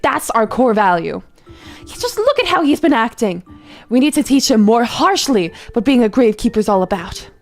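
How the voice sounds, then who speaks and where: A woman playing a stern, traditional gravekeeper father in a drama, speaking in a strict, scolding manner. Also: fairly high pitch. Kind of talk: dramatic character acting.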